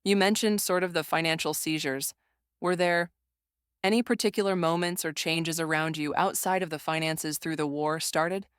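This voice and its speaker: Young adult interviewer, smooth clear voice, dry recording, polite, formal, prepared questions. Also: medium pitch, fairly even intonation, normal speed, fairly bright tone, no echo. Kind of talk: prepared questions with follow-ups.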